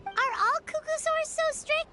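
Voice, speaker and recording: A woman voicing a tiny, blunt, girlish floating companion in a video game, with a squeaky, teasing delivery. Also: a little slow. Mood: curious. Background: loud game music.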